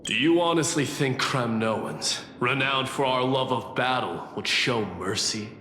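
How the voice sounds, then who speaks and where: A man's voice: medium pitch, measured pace, sharp tone, slight background noise. A man voicing a proud, scornful warrior leader in a video game, speaking in a haughty, formal, challenging way. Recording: echoey hall reverb, light music behind.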